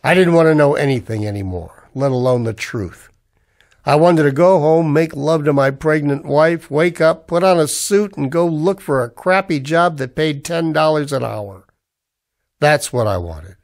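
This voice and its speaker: A man's voice: fairly high pitch, moderate pace, close to an everyday voice. An actor playing a weary, cynical man in a scripted radio-style noir drama. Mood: brooding. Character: weary, cynical, down-on-his-luck man narrating a noir tale. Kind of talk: scripted noir narration in the manner of old radio plays.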